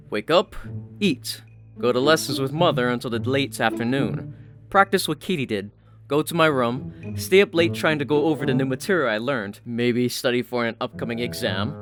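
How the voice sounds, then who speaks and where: A man reading scripted fiction as a story narrator, with music playing behind him. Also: mid-range voice. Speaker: audiobook-style story narrator.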